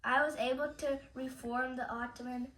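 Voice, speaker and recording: A woman's voice: high voice, steady tone, moderate pace, a bit bright. A young woman reciting a scripted history presentation in character as a ruler, in a declamatory way. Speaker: student presenter playing a sultan. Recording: echoey room with background noise.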